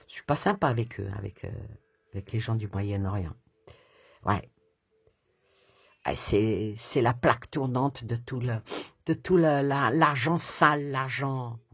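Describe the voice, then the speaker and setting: Very husky woman reading tarot. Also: low voice, no room sound. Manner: chatty and rambling, with frequent hesitations and laughs. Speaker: tarot reader. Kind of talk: casual tarot reading chat.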